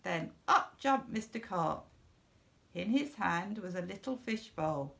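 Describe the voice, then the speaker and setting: Woman, storybook narrator, slow, creaky, expressive, deliberate phrasing. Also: medium pitch, slight background noise. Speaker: storybook reader.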